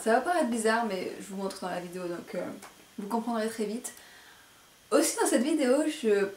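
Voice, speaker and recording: A woman presenting a casual, chatty hair tutorial to camera, speaking a little carefully and self-consciously. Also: fairly high pitch. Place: indoor room at home. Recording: roomy echo, faint background noise.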